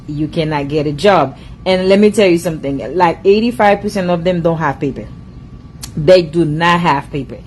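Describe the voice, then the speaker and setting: Emphatic accented woman with a low voice. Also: a little hiss or hum, low-quality recording. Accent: foreign-accented English. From immigrant to the US. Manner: emphatic, repetitive, lecturing. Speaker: social media commentator. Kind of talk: casual monologue to viewers.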